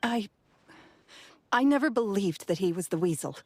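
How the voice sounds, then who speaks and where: A woman's voice: medium pitch, lively intonation, moderate pace, close to an everyday voice. An actress playing a guarded, grieving woman in a video game, speaking in a terse, wary way. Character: guarded, grieving woman shielding someone's memory. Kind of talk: English game voice acting.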